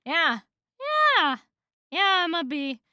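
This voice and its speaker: A woman's voice: high-pitched, slow pace, pushed and bright, dry recording, studio quality, voice pushed up, heavily acted. A woman voicing a nervous, awkward young male cartoon bee who stammers and apologizes. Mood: flustered, apologetic. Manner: stammering, self-arguing, apologetic.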